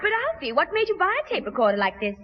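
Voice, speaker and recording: A sensible young woman who scolds with exasperation in a sixties radio sitcom. Her voice is high and animated. The old recording is muffled. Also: very fast, a loud crowd, heavy background noise. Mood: questioning. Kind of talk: 1960s radio sitcom acting.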